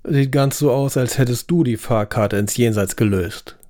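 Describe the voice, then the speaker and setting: A man voicing Raymond, a gruff, boozy old sailor type who blusters. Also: medium pitch, dark tone, no echo, clean studio sound, close to an everyday voice. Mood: mocking. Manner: blustering, fast.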